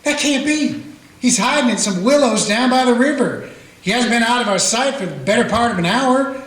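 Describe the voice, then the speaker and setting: A man's voice: extremely high pitch, expressive, normal speed, a bit bright. A man playing a folksy frontier cowboy. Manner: plain-spoken, folksy, takes charge. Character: steady frontier cowboy man who leads the chase. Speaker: narrator and lead character. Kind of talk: community radio play acting. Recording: echoey room with guitar music.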